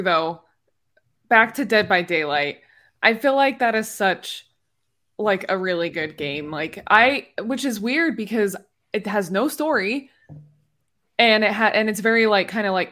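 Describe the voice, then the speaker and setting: A woman with a middle-pitched voice talks games on a podcast. Her delivery is casual and conversational, with loose, informal phrasing. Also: a bit bright. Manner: informal, peppered with "like". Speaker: podcast panelist. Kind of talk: casual chat about games.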